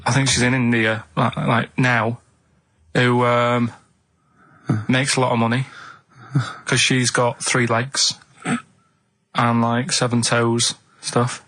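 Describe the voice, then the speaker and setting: Male radio co-host, deadpan, halting, vague rambling chat, dry studio sound. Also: medium pitch, dry recording.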